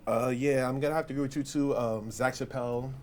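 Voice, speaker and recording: Halting male sports panelist, faint noise. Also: mid-range voice, steady tone. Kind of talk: casual sports discussion.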